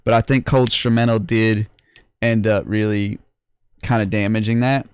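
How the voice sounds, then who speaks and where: A man's voice: lowish voice, fairly even intonation, normal speed, smooth. A young man hosting a livestream, casually rating music contest entries in loose, slangy talk full of restarts. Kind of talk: casual livestream review chat.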